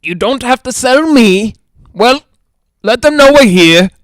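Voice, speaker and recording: A man's voice: very high-pitched, expressive, measured pace, dry recording, clean studio sound. A man playing a grumpy, anxious, penny-pinching property owner in a 1940s-style radio drama, curt and suspicious. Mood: uneasy.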